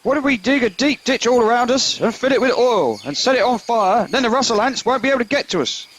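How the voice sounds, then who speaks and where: A man playing an eager, excitable old veteran soldier in a comedy radio play, keen and blustering. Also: very high-pitched, fast talker, slight background noise, low-quality recording.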